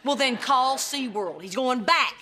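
A woman's voice: high voice, lively intonation, unhurried, very noisy. An actress playing a stressed, sarcastic middle-aged mother in a sitcom. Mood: sarcastic, exasperated. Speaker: sitcom actor. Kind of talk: broadcast sitcom acting, dry punchlines.

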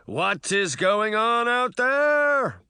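A mysterious, furious presence from a children's storybook. It booms out slowly in an angry shout, pushed well up in pitch. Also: male voice, sing-song, clear, resonant tone, no room sound, clean studio sound, exaggerated voice. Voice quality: booming. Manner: slow, shouting. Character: mysterious angry booming voice behind a door. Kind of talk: children's audiobook character voice.

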